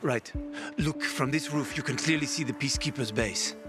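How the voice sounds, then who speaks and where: A man's voice: mid-range voice, moderate pace, over music, natural voice. A man acting a roguish, easygoing survivor and guide in a video game, breezy and persuasive with a wry edge.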